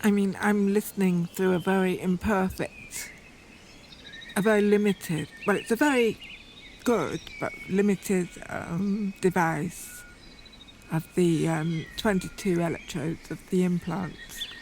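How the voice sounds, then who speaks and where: A woman reflecting aloud in a deliberate, unscripted way, searching carefully for her words. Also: on the low side, fairly even intonation, darkish tone. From northern England. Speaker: poet, documentary subject. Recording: outdoor field recording with environmental noise. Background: birdsong and outdoor ambience.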